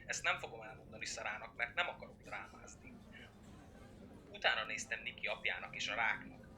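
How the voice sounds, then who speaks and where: A man voicing a worried young friend in a fan dub, blunt and sweary, talking in urgent, hushed bursts. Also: lowish voice, lively intonation, slight background noise. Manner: urgent, hushed, swings into loud agitation.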